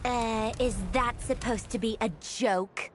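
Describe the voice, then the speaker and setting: A woman playing a haughty, prickly young woman in a video game, scoffing and easily flustered in an exasperated, sharp-tongued way. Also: on the high side, fairly even intonation, slow pace, noisy background. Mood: irritated, defiant. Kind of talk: dramatic video game voice acting. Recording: music behind the voice. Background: music.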